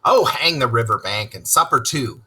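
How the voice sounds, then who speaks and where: A man's voice: medium pitch, animated, normal speed, bright, forceful tone, breathy edge, faint music behind, exaggerated voice. A man voicing a kindly, decisive storybook rat, a loyal chum with a hearty, old-fashioned way of talking. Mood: hearty, resolute. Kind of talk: storybook read-aloud character voice.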